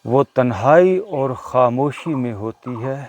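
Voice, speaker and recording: A man leading a guided meditation as a teacher, speaking deliberately with short pauses between phrases. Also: mid-range voice, animated, darkish tone, slight background noise. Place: outdoors in a natural setting. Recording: outdoor recording with birdsong behind. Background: birdsong.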